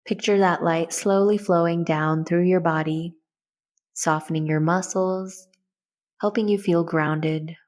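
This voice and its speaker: A woman with a slightly low voice leads a guided meditation. She gives soft, soothing instructions in a gentle manner, as a host walking listeners through each step. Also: steady tone, a little slow.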